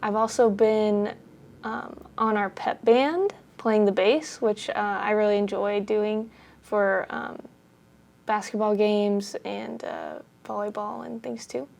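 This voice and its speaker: A woman's voice: mid-range voice, steady tone, takes it slowly, creaky, a little mellow. A young woman, a college junior from Oregon, talking casually with many fillers. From Oregon, USA. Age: young adult. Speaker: college student.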